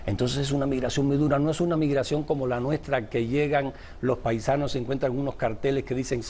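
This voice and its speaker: A man's voice: mid-range voice, fairly even intonation, fairly quick, darkish tone, slight background noise. A man from Cuba, an author and journalist, answering interview questions in a reflective, discursive way.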